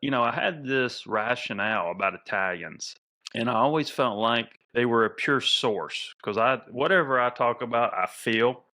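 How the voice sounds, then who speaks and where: A man's voice: medium pitch, moderate pace, bright, forceful tone. A man hosting a podcast, asking loose, rambling questions in casual interview chat. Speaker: podcast host.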